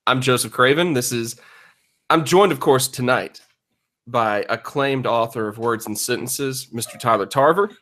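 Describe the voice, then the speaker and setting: Man, medium pitch, podcast host, casual joking chat. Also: normal speed, a bit bright.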